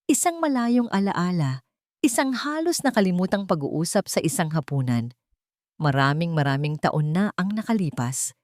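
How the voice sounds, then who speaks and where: A woman's voice: lowish voice, a little mellow, no echo, studio quality. A woman reading a scripted dramatic story with suspenseful, emphatic delivery.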